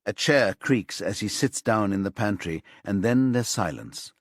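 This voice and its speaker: A man reads a crime thriller audiobook in a low, husky voice. His delivery is measured and suspenseful, and the recording is dry and close. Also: lively intonation, no echo. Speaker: audiobook narrator. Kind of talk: scripted thriller audiobook narration.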